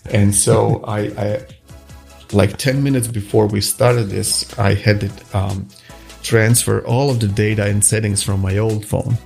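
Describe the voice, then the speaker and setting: A man's voice: on the low side, fairly even intonation, measured pace, creaky, darkish tone, faint music behind. A middle-aged man from Croatia, a native Croatian speaker, answering interview questions in a hesitant, casual way with many restarts. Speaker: guest, watch face designer.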